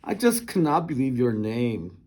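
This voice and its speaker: A man's voice: medium pitch, wildly swooping pitch, measured pace, a little mellow. A man voicing a smug young schoolgirl tattletale in a storybook, pointed and know-it-all in manner. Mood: mocking.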